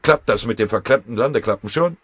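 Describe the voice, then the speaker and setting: Man playing a gruff, blustering airfield foreman, loud and animated. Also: deep voice, brisk, no echo, exaggerated voice. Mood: blustering, scolding. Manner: grumbling, barking orders in sarcastic colloquial speech. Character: gruff, blustering German airfield foreman, bossy but fatherly.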